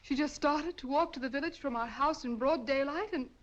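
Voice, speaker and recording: A woman's voice: sits high, fairly quick, close to an everyday voice. An actress playing a deceptive young woman posing as a distressed client in an old radio drama. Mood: pleading. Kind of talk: 1940s radio acting. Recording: muffled old radio recording with faint hiss.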